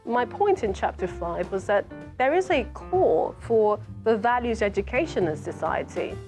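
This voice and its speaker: A woman, an author reading from her book and commenting on it in an explanatory, measured way. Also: a little high, expressive, a little mellow. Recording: music playing behind the voice. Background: music.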